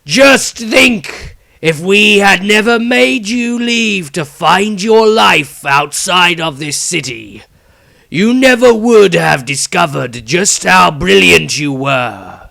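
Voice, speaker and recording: A man voicing an old, mocking wizard patriarch in a fantasy audiobook, speaking in a loud, slow, theatrical declamation. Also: high-pitched, lively intonation, creaky, pitched above the performer's own voice, full character voice. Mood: mocking praise.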